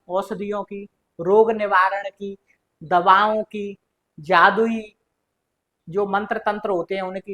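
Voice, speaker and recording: Female Indian-accented teacher, mid-pitched, didactic and repetitive, walking students through quiz questions and supplying each answer. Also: a bit bright. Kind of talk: exam-prep lesson going through quiz questions.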